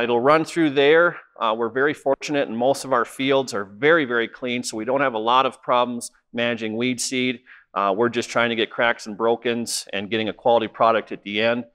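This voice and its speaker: Middle-aged man, smooth clear voice, dry close lapel sound, plain-spoken with fillers. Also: medium pitch, steady tone, fairly quick, fairly bright tone, dry recording. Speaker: foundation seed program manager. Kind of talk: informal walk-through tour. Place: seed cleaning plant indoors, then outdoors by wheat fields.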